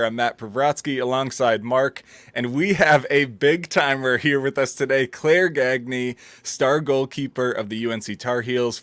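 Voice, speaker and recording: A man's voice: medium pitch, a bit bright, dry recording. Enthusiastic male podcast host, dry sound. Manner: enthusiastic, folksy. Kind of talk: casual podcast interview.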